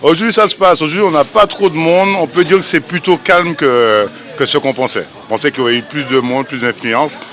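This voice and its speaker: A man's voice: fairly high pitch, a little fast, hardly any pauses, fairly bright tone, faint music behind. A man working in a shop, answering casually in an on-the-spot interview.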